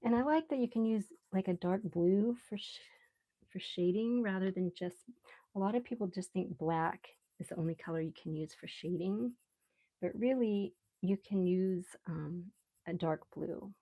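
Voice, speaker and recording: A woman with a relaxed voice chats casually on a live stream as she draws, rambling unhurriedly and thinking aloud. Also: mid-range voice, normal speed, highs cut off. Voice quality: relaxed, soft-edged voice. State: relaxed, mildly sedated after anesthetic. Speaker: live stream artist host. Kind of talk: casual live stream chat while drawing.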